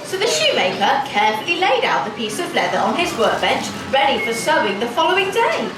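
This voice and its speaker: A distant, echoey stage recording of a woman telling a pantomime story to children. Her very high voice rises and falls theatrically. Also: huge pitch swings, fairly quick, very noisy. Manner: theatrical, exclaiming. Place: children's covered outdoor stage.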